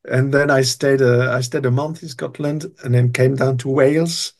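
A man's voice: mid-range voice, fairly even intonation, normal speed. Older man telling stories. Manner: rambling, hesitant, many restarts and fillers. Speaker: guest. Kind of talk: storytelling interview.

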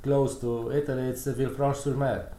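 A man answering interview questions, hesitant with many pauses but good-humored. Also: medium pitch, little pitch movement, mellow. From Romania. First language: Romanian. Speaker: guest, restaurant owner. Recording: roomy sound with faint background.

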